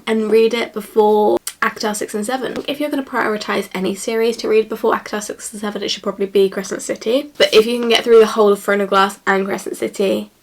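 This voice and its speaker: A woman's voice: medium pitch, fairly even intonation, quick pace, slight background noise. A young woman with a blocked nose from a cold, rambling in a chatty way as she reviews books she has read. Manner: rambling, chatty, lots of "like" and "kind of". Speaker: book reviewer. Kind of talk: casual chatty vlog.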